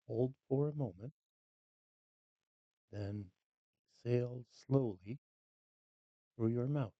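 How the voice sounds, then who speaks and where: A meditation guide speaks very slowly and calmly, leaving long pauses between short phrases. The voice is dry, with little room sound, and the recording is slightly dull. The delivery is scripted and unhurried, made for guided relaxation. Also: medium pitch, no room sound.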